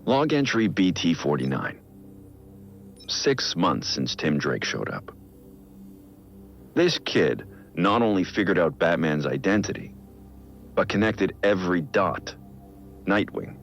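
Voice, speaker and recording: An actor playing a brooding, guilt-ridden middle-aged vigilante who records grave, measured, introspective audio logs in a video game. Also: male voice, very animated, exaggerated voice. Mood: measured, reflective. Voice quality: deep, gravelly. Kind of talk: scripted audio log narration, video game acting. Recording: muffled lo-fi audio log, music underneath. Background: music.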